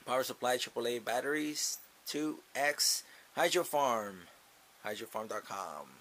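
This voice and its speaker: A man's voice: mid-range voice, animated, measured pace, a bit bright, a little hiss or hum. A young man casually talking through an unboxing in a small grow tent, upbeat and repeating himself as he thinks aloud. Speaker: gardening channel host. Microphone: camera mounted above.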